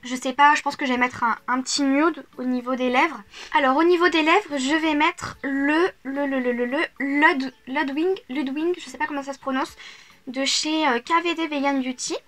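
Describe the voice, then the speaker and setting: A woman's voice: sits high, moderate pace, a bit bright. A young adult woman vlogging a casual makeup review at home, chatty and hesitant, often repeating words. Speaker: beauty vlogger.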